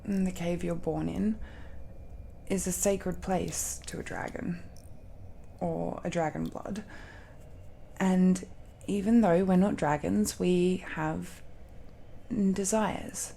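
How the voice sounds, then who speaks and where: Woman acting soft-hearted dragon girlfriend, mellow lowish voice, hesitant n intimate, romance roleplay audio. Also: fairly even intonation, vocal fry, slight background noise. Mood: earnest, intimate. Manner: hesitant, tender, pausing often. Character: affectionate, secretive dragon-blooded girlfriend confessing to her boyfriend.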